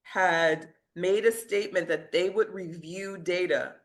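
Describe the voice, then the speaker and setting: A woman's voice: fairly low pitch, measured pace. A middle-aged woman physician, a guest in a conversational interview, recounting events earnestly and laughing now and then at her own defiance.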